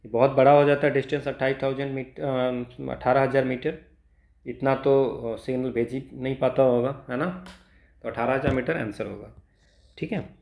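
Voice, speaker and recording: An Indian man teaching exam problems step by step in a halting tutorial style, mixing Hindi and English and often adding small check-in tags. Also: mid-range voice, fairly even intonation, gravelly, a little mellow.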